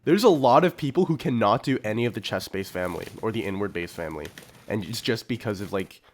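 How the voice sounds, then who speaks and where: A man's voice: lowish voice, animated, fast. A man co-hosting a podcast, chatting casually and telling anecdotes in an informal, slangy way. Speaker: podcast co-host.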